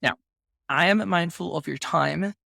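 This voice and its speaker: A man from Venezuela hosts a podcast interview, speaking in a slightly higher register with a gravelly, fry-heavy texture. His talk is thoughtful and measured, with careful phrasing. The close mic sounds very dry. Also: pushed and bright, dry recording. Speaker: podcast host. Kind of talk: casual interview conversation.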